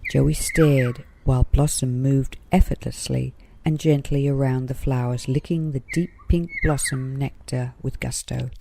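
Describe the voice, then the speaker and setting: A woman's voice: a bass voice, fairly even intonation, a little slow, gravelly, few pauses, noisy background. A woman narrating a children's story in a scripted read-aloud style.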